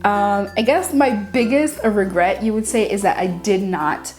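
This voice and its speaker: A woman's voice: mid-range voice, measured pace, a little mellow, soft background music. A young woman from Haiti whose first languages are French and Creole, chatting casually and informally to viewers as a channel host. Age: young adult. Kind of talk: casual chat to camera, answering viewer questions.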